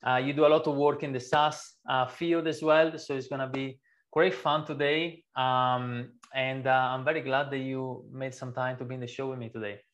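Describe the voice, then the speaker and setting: A man hosting an interview show, speaking casually and enthusiastically with some halting phrasing. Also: medium pitch, little pitch movement, fairly bright tone, muffled recording. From overseas, outside Australia. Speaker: podcast host.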